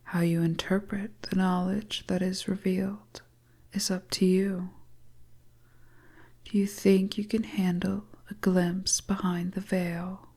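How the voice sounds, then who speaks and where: A woman playing a seductive, teasing witch fortune-teller in a hypnotic story, speaking in a slow, breathy, coaxing whisper. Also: mid-range voice, dark tone.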